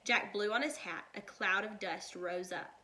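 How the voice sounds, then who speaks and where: A woman reading a children's story aloud like a teacher, with deliberate, expressive delivery. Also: medium pitch, heavy background noise.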